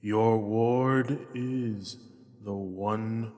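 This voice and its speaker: Man as enslaved mirror spirit, long cavernous reverb, low voice, solemn, archaic, rhyming, fantasy roleplay. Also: fairly even intonation, very slow, warm tone. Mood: grave.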